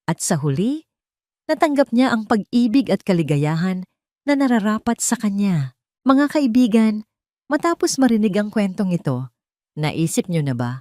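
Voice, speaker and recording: A woman's voice: mid-range voice, sing-song, moderate pace, long pauses, warm tone, no room sound, clean studio sound. A woman reading a scripted, dramatic romance story as narrator.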